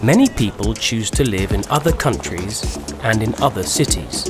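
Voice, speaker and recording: A man giving scripted narration for a language-learning documentary, with a lively delivery. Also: lowish voice, moderate pace. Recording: narration over clear music.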